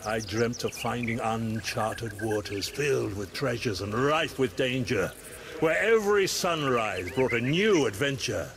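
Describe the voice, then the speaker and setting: An actor playing a hearty, welcoming old ghostly pirate lord in a video game, with theatrical storytelling delivery. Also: male voice, medium pitch, expressive, a little slow, gravelly, background noise. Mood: warm, encouraging. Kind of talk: scripted video game character acting.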